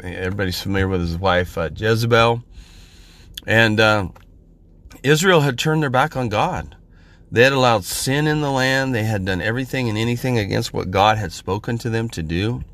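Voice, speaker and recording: Hoarse man giving a halting sermon. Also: on the low side, fairly bright tone. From Central California. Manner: halting, many fillers and restarts. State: hoarse from a head cold. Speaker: podcast minister. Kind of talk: informal spoken sermon.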